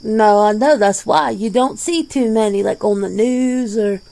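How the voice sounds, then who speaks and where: Casual werewolf interviewee for ASMR roleplay, female performer: dark, relaxed tone, gravelly edge, plain-spoken and folksy. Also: mid-range voice, unhurried. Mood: easygoing, earnest. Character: laid-back, plain-spoken werewolf being interviewed, peace-minded.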